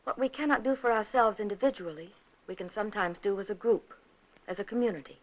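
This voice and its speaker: A woman narrates a 1950s documentary in a mid-pitched voice. Her delivery is scripted, earnest and measured, with a rhetorical turn to her phrasing. The old film soundtrack is dull and lo-fi, with music playing behind her. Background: background music.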